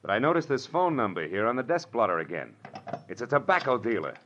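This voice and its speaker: An American actor playing a cocky, wisecracking private detective in 1940s radio noir, with wry, slangy, clipped delivery. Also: male voice, mid-range voice, big pitch swings, fast talker, fairly bright tone. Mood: brisk, probing. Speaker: lead actor and narrator. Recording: dull, noisy old radio recording.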